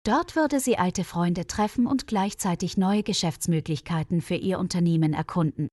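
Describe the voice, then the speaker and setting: A woman reading a scripted audio story for language learners in a measured, clearly phrased storytelling manner. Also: on the low side, darkish tone, no echo, studio quality. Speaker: narrator of German-learning stories.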